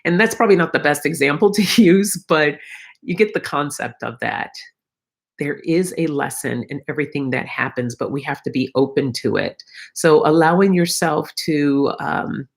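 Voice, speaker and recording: A woman's voice: low-pitched, normal speed, vocal fry. A middle-aged woman with a slightly froggy, tired voice, hosting a casual spiritual livestream and speaking reflectively and encouragingly straight to her viewers. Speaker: host of a live oracle card reading.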